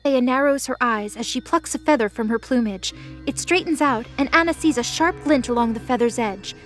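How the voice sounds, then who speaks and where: A woman's voice: on the high side, a little fast, a bit bright, soft background music. A woman reading scripted, dramatic story narration as the narrator of an audio drama.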